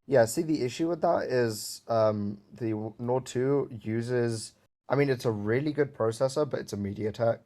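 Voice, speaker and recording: A man chatting casually about phones and software on a voice call, rambling with fillers and some swearing. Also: medium pitch, moderate pace. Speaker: jailbreak developer on a stream. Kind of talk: casual tech chat.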